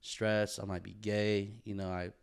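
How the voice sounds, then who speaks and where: A man's voice: lowish voice, flat delivery, a little slow, creaky, smooth. A young man chatting casually on a podcast, rambling and swearing, with plenty of bro and dude. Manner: slangy, profane, rambling, says bro and dude often. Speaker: podcast co-host.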